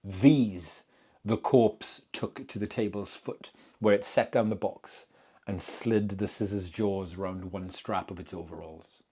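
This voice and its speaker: A man giving a dramatic, deliberate reading of literary horror fiction as narrator. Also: deep voice, steady tone, warm tone, husky.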